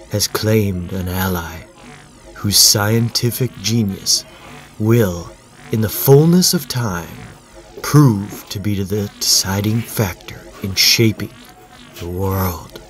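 A man in his thirties narrating a comic in an amateur dramatic reading, in a low and ominous storyteller delivery.

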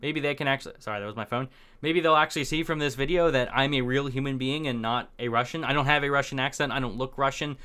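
Young male vlogger, off-the-cuff rambler, smooth clear tone, medium pitch, desk mic in small room. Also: fast, pushed and bright. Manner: rambling, talks on the fly with fillers and restarts. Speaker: political commentary vlogger. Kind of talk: unscripted casual commentary.

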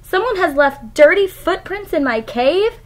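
A woman voicing a big, bossy, quick-tempered female brontosaurus who shouts her lines in a storybook reading. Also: very high voice, lively intonation, pitched above the performer's own voice. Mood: angry. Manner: stomping, shouting outbursts.

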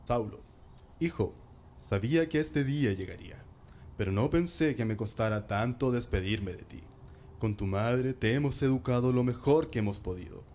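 A man's voice: mid-range voice, normal speed, a little mellow, a little hiss or hum, natural voice. Man acting as a loving, solemn father. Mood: tender, solemn. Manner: earnest, tender. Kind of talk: radio drama acting.

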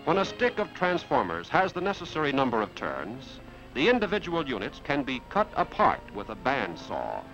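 A man's voice: medium pitch, wildly swooping pitch, normal speed, fairly bright tone. An American man reading a scripted 1950s industrial film narration in an explanatory announcer's delivery. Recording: muffled 1950s film soundtrack with music. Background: background music.

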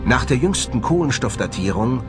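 A man's voice: medium pitch, very animated, moderate pace. A man delivering scripted documentary voice-over narration. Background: background music.

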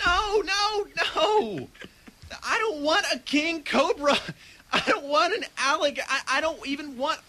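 A man's voice: very high voice, expressive, measured pace, sharp tone, full character voice. A man playing a flustered, skeptical young college student, stammering and incredulous, in a radio comedy sketch. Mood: exasperated.

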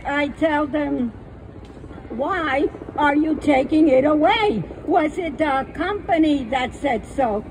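A woman's voice: sits high, a little mellow, slight background noise, rough recording. An older woman reading her own poems with friendly, plain-spoken asides on a small outdoor stage, using a stage microphone on a stand. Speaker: poet. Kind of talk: poetry reading with chatty asides. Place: small outdoor stage under trees at a festival.

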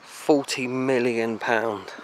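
A young British man vlogging as he explores abandoned grounds outdoors, excitable and slangy, often hushed, recorded on a handheld camera. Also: mid-range voice, slow pace. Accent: British English. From England. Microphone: handheld action camera. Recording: noisy outdoor camera audio.

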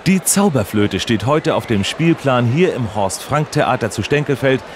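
An actor playing a polite, straight-faced male radio reporter, asking formal deadpan questions in a mock on-location report. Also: mid-range voice, moderate pace, background noise, natural voice.

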